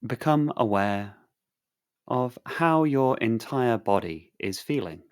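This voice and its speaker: A man guiding a sleep meditation in a calm, soothing, measured way with long pauses. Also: mid-range voice, clean tone. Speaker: meditation guide.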